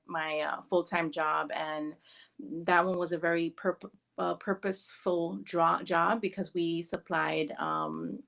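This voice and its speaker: Woman from New York telling her story. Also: fairly low pitch. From New York City. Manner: relaxed, many ums, searching for words. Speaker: summit speaker. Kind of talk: personal story.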